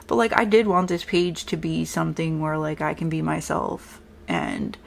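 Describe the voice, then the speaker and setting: A woman's voice: deep voice, lively intonation, moderate pace, creaky, a little hiss or hum. A young woman with a strong New York accent, chatting bluntly through a makeup tutorial. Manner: chatty, blunt, says like a lot. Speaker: makeup vlogger. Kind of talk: casual makeup tutorial chat.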